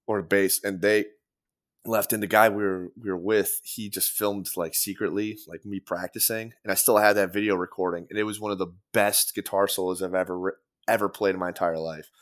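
A man talking casually on a podcast, rambling with many fillers, restarts and casual swearing. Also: fairly low pitch. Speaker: podcast guest, former band guitarist. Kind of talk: casual conversation.

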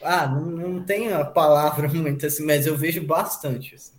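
A man's voice: on the high side, steady tone, moderate pace. A man with a Brazilian accent hosting a podcast and casually putting questions to a guest. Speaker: podcast host. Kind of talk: casual interview. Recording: echoey room sound.

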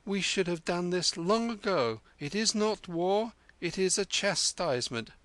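A man's voice: high-pitched, expressive, measured pace, fairly bright tone, no room sound, voice pushed up. A man reading aloud, voicing a clever thirteen-year-old street boy in a raised sing-song manner. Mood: mimicking.

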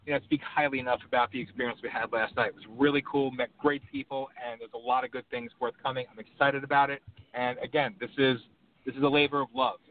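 A man hosting a call-in radio show, talking in an impassioned, rambling, emphatic way. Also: mid-range voice. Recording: tinny phone-line audio with faint background hum.

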